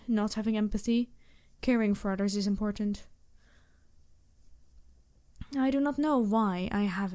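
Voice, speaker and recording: A woman voicing an empathetic, teasing demon princess in an ASMR roleplay, speaking to the listener in formal, measured phrases. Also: medium pitch, darkish tone, smooth, close to an everyday voice.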